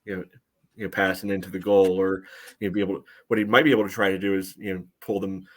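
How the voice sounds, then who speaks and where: Male podcast co-host, lower register, casual sports analysis, halting delivery full of verbal fillers. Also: level tone, a bit bright. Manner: hesitant, choppy phrasing peppered with "you know".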